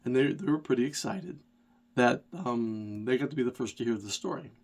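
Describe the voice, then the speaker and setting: A man's voice: mid-range voice, lively intonation, moderate pace, creaky, darkish tone, slight background noise. A middle-aged man with a stuffy head cold, a rideshare driver telling a rambling, self-deprecating story to his channel. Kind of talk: casual storytelling vlog.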